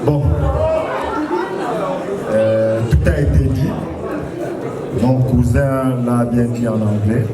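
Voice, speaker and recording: A man speaking hesitantly at a birthday party, pausing often. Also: mid-range voice, steady tone. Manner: hesitant, many pauses. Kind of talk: halting party speech. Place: large indoor hall at a party. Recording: echoey hall, far off, crowd noise.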